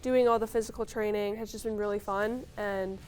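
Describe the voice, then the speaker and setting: A woman's voice: mid-range voice, fairly even intonation, fairly quick, a little mellow. A woman in her twenties from Minnesota, a police academy recruit, answering interview questions in an upbeat way. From Sartell, Minnesota.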